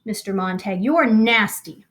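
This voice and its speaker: A woman's voice: unhurried, fairly bright tone, natural voice. A woman voicing a scornful, indignant middle-aged housewife in an audiobook reading. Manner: shrill and indignant. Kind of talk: audiobook character voice.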